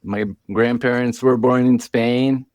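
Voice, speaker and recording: A man from Brazil, a climber, answering interview questions in a casual, low-key way from a friend's house. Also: flat delivery, slow, a little mellow, no room sound. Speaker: climber guest.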